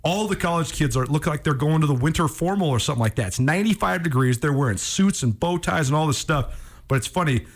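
A man's voice: a little high, lively intonation, fast talker. An American man from Montana hosting sports radio, telling stories in an emphatic, conversational way in a studio. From Montana, United States. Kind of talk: casual radio chat, storytelling.

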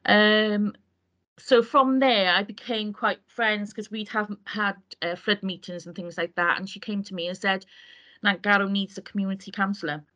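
A Welsh woman from Wales, a community councillor, talking earnestly in a casual interview and pausing often as she gathers her thoughts. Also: mid-range voice, fairly even intonation, sharp tone, muffled recording. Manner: earnest, meandering with frequent hesitations.

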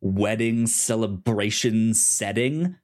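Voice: medium pitch, slow pace, darkish tone. Stammering podcast co-host with a mid-range voice. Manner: stammering, repeats sounds and words. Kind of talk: casual TV discussion chat.

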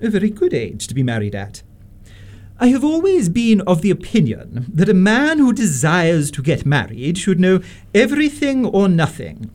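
Give the voice of an imperious middle-aged Victorian lady acted by a man, pitched high for a male voice and swinging wildly up and down. The delivery is pompous and deliberate, full of haughty disapproval, in a radio stage-comedy style. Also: wildly swooping pitch, darkish tone. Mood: haughty interrogating. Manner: pompous, deliberate, swooping emphasis.

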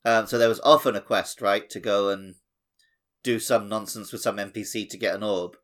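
A man's voice: on the low side, steady tone, normal speed, fairly bright tone. A man co-hosting a gaming podcast, chatting casually with wry, self-deprecating humour. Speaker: podcast co-host. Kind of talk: casual, joking video game chat.

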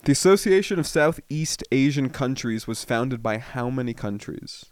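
A man's voice: medium pitch, animated, clear, resonant tone, no echo. Dry-voiced man running a quiz. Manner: reads quiz questions slowly and teasingly. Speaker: quiz host. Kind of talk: casual podcast chat.

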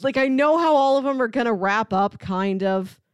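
A woman's voice: fairly high pitch, normal speed, clear, resonant tone, no echo. A woman co-hosting a podcast, chatting casually and playfully about media. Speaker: podcast co-host.

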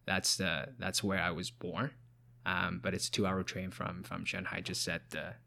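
A man's voice: deep voice, level tone, normal speed, vocal fry, bright, forceful tone. A young man from China, a podcast guest and founder, chatting casually with many fillers and restarts. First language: Chinese. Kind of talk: casual interview chat.

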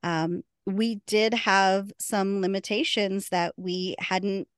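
A woman's voice: medium pitch, steady tone, slow, clear, resonant tone, dry recording, studio quality. A woman co-hosting a podcast, chatting casually and laughing often. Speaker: podcast co-host.

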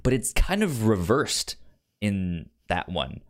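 A man's voice: lowish voice, very animated, slow. A man co-hosting a podcast in casual, unscripted chat, often repeating words as he thinks aloud. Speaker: podcast co-host.

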